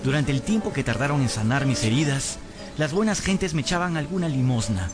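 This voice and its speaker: A man's voice: medium pitch, lively intonation, brisk, music in the background, lightly acted. An actor playing a battered, hapless young servant rogue who tells his own story wryly in a radio drama. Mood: wry, plaintive. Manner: wry storytelling, whimpering and stammering when cornered. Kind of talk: dramatised first-person narration in radio drama.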